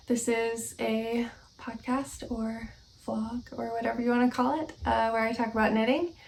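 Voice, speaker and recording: A young woman from Oklahoma hosting a casual knitting vlog at home, relaxed and slightly scratchy from allergies, with lots of ums and soft laughs. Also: on the high side, creaky. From Oklahoma, United States. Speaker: knitting podcast host. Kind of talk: casual chat to camera. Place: at home, indoors.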